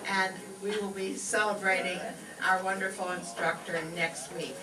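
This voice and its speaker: A woman serves as master of ceremonies in a live stage show, reading her lines to the audience. The mic sits far off in an echoey room, with clear noise around her. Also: mid-range voice, level tone, moderate pace. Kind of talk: live stage presenting and scripted joke reading. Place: indoor hall with live audience. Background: audience crowd and room noise.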